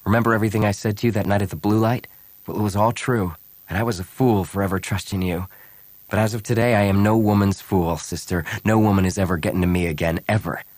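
A man's voice: fairly low pitch, fairly even intonation, quick pace, darkish tone, no room sound, close to an everyday voice. A man voicing a cynical, wounded smuggler pilot in an audiobook, terse, bitter and hard-bitten. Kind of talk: audiobook character acting.

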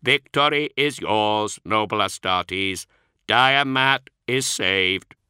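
A man's voice: on the low side, pushed and bright, clear, resonant tone, no echo, studio quality, pitched above the performer's own voice, exaggerated voice. Man putting on a harsh robotic tech priest. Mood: measured. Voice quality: harsh, atonal, strangely inflected. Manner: slow, clipped, precise delivery. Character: synthetic-voiced male tech priest, formal and cold.

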